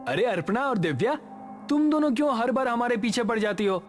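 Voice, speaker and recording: Guy doing a brash village lad in a cartoon, quite high voice, rustic talk, faint music. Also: lively intonation, a little fast. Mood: casual, brash.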